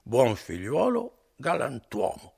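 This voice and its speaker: A man voicing a drunk, rowdy young man who shouts and drags out his words. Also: mid-range voice, huge pitch swings, vocal fry, breathy edge, voice pushed up, exaggerated voice. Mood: drunken mockery. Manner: shouting, slow drawn-out words.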